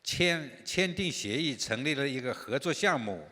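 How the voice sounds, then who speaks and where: An older man whose first language is Chinese, answering on a business panel in a halting way with frequent pauses and fillers. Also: mid-range voice, animated, sharp tone. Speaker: industry association chairman. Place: large conference hall. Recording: reverberant conference hall.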